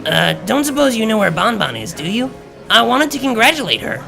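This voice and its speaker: Man acting young pony DJ mare, raised pitch, creaky, bright edge, awkward, casual, storybook. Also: sing-song, a little fast, faint music behind, exaggerated voice. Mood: friendly, hesitant. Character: young female pony DJ, awkward, good-natured.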